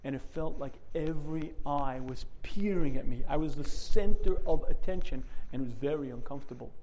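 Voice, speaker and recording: A middle-aged man giving an earnest Torah lecture, clearing his throat often. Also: fairly high pitch, brisk, dark tone, slight background noise, rough recording. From United States. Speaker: rabbi.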